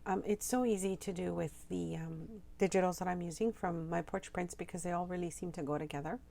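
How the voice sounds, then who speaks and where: Woman, slightly hoarse, lowish voice, craft tutorial voiceover, soft-spoken, lots of ums. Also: steady tone, a little fast. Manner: soft-spoken, frequent ums, friendly explaining. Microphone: home voiceover mic.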